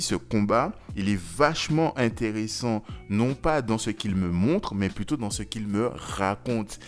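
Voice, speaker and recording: A low-pitched man hosts a review in a casual commentary style. He talks conversationally and gives his opinions freely, with slangy turns of phrase. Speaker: host of an anime review video. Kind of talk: casual spoken video commentary.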